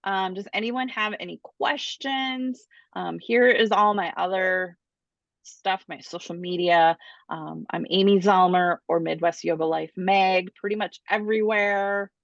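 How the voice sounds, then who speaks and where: A woman's voice: medium pitch, fairly even intonation, unhurried, vocal fry, no room sound. A woman hosting a casual online Q&A class, talking informally over a video call. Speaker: host of a book publishing Q&A class. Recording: dull lo-fi video call audio.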